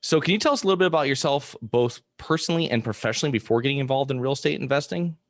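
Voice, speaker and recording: A man's voice: medium pitch, animated, very fast, no room sound, studio quality. A man hosting an interview podcast, delivering a prepared introduction and asking the questions.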